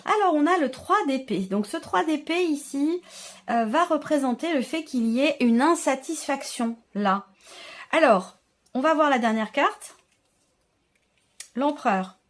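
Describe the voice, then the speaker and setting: High-voiced woman reading tarot cards. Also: animated, a little slow. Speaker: tarot reader and channel host. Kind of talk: informal tarot card reading, addressed to viewers.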